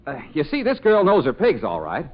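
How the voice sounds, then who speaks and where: A flustered, wheedling schemer in an old radio comedy. His highish voice leaps up and down as he stalls and pleads. The vintage recording is a little dull. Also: huge pitch swings, fairly quick. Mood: stalling, exasperated. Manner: hesitant, wheedling, exasperated. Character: flustered, scheming, pleading male matchmaker boss.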